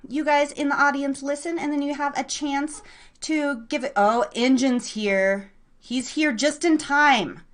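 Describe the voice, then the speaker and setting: High pitched lady hosting a live online show, bubbly n excitable, rambly slangy chat with listeners. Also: a little slow. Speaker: host of a live internet radio show and podcast. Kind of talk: casual rambling livestream chat with an online audience.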